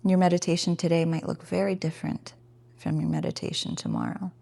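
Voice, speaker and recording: A woman teaching a guided meditation in a gentle, informal, encouraging way. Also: on the low side, wildly swooping pitch, brisk, vocal fry.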